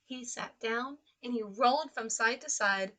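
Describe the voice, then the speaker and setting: A woman reading a children's story aloud with expressive narration. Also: on the high side, unhurried. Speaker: children's storybook reader, teacher.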